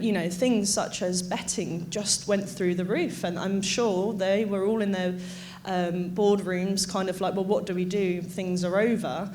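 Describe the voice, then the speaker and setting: A woman with a fairly low voice talking on a conference panel. She speaks in a chatty, slightly rambling way and often restarts her sentences. A faint room ambience sits behind her. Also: darkish tone, slight background noise. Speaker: agency panelist. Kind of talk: panel discussion.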